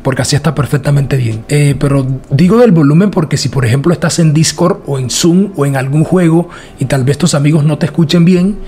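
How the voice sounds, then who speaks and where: A man narrating a casual software tutorial in a chatty, repetitive way. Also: a little high, brisk, hardly any pauses, a little hiss or hum. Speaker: tutorial host.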